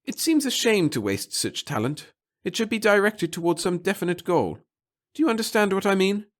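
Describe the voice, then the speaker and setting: Man putting on a high-pitched, fussy little bureaucrat. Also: very animated, moderate pace. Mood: obsequious. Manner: formal, wordy, obsequious. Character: little wizened fussy nervous male bureaucrat with hidden cunning. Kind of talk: audiobook character acting.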